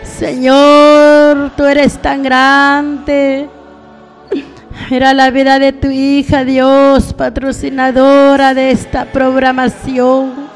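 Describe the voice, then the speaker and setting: A woman's voice: sits high, monotone, very slow. A mature woman preacher praying aloud in a pleading, spontaneous way, calling out to God again and again in short phrases. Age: middle-aged or older. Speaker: evangelical preacher praying on a radio programme. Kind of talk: fervent spontaneous intercessory prayer. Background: faint music.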